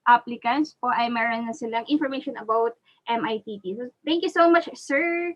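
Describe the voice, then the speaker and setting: A woman's voice: a little high, brisk, a bit bright, highs cut off. A woman with a Filipino accent politely hosting a casual live online webinar, thanking attendees as she goes. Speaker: webinar host. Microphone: online video call.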